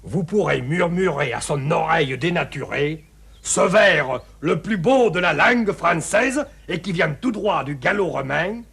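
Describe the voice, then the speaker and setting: An actor playing a pompous, theatrical poetry lecturer who addresses children in a grandiloquent, emphatic declamation, savouring each word. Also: male voice, a little high, vocal fry, fairly bright tone, slightly rough, heavily acted. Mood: grandly admiring.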